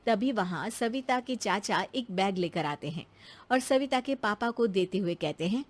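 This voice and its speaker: A woman's voice: mid-range voice, animated, normal speed. A woman reading scripted narration for a cartoon story as its narrator.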